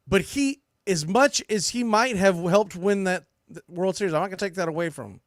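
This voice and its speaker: An American man with a fairly high voice hosts a sports podcast in a dry, close-sounding space. He delivers opinionated baseball commentary bluntly and emphatically, with some salty language. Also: a little fast, no echo. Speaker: sports podcast host and play-by-play broadcaster.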